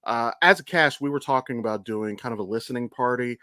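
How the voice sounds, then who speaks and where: Guy on a podcast, mid pitch, blunt and sweary, really into it, dry close mic. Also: fast talker, bright, forceful tone, dry recording. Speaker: podcast co-host and amateur voice actor. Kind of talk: casual podcast chat.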